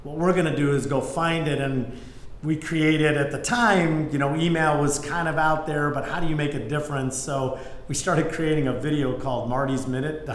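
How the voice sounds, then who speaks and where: A man's voice: fairly high pitch, steady tone, normal speed. A middle-aged man, an interview guest, telling anecdotes in an informal conversational way. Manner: informal, peppered with "you know" and "like". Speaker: business executive, interview guest. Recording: echoey room, faint background noise.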